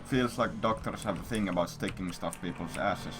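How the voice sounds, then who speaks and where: A man's voice: low voice, moderate pace, music in the background. A man livestreaming, telling a story in casual, rambling chat with blunt, repetitive, profanity-heavy talk.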